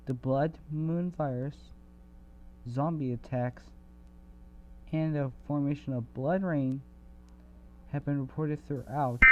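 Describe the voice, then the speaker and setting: A man playing a breaking-news anchor, delivering a scripted bulletin in a deliberate announcing manner. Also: mid-range voice. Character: male breaking-news anchor relaying apocalyptic reports. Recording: very noisy, tinny phone-like sound with hum.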